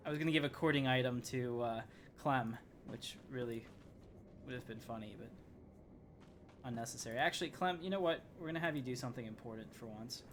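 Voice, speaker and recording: A man's voice: mid-range voice, a little fast, a little hiss or hum. A man hosting a game livestream, chatting casually to viewers and rambling as he thinks aloud while playing. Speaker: livestream gamer host. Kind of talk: casual live gameplay commentary, chatting to viewers. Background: game music.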